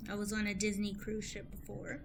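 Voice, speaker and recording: A woman playing a stressed college-student intern in an improvised radio play, halting, informal and a bit defensive. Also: medium pitch, completely flat delivery, creaky, background noise, lightly acted. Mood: guarded.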